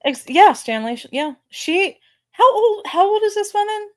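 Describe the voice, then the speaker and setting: A woman's voice: very high voice, expressive, normal speed. A woman hosting a casual gossip livestream, chatting cattily and swearing now and then. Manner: catty, profane, agreeable. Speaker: livestream host.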